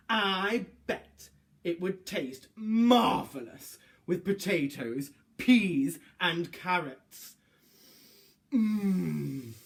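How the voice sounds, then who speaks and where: Man doing a slow, greedy storybook butcher. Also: high voice, exaggerated voice. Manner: slow, savouring, drawn-out words. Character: greedy, hungry male butcher relishing his food. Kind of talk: children's storybook read-aloud.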